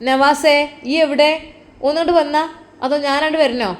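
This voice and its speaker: A woman voicing a brisk, bossy older mother who fusses over her family and calls out commands. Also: on the high side, slight background noise, close to an everyday voice. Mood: calling. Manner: quick, calling out, commanding.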